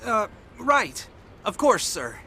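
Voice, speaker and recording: A man's voice: high voice, big pitch swings, slow, vocal fry, bright, forceful tone, a little hiss or hum, exaggerated voice. A man playing a timid, self-deprecating, lovesick young soldier in a video game scene. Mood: sheepish. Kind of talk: video game cutscene acting. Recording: music behind the voice.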